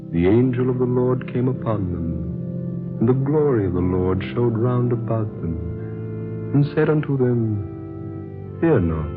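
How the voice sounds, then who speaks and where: A man playing a solemn, gentle Christ figure who recites scripture in stately 1940s radio drama style. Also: medium pitch, animated, unhurried, close to an everyday voice. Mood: reverent, stately. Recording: muffled vintage radio recording with music.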